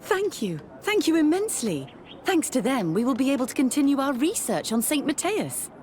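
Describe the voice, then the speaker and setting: High-voiced, effusive priest. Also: female voice, sing-song, normal speed, a little mellow. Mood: grateful. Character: grateful, scholarly female missionary priest. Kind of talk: video game dialogue acting.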